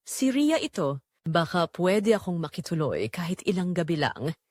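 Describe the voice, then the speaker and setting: A woman's voice: on the low side, big pitch swings, normal speed, darkish tone, no room sound. A woman playing a confident young female cousin in an audio drama, sweet, coaxing and teasing. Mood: sweet pleading.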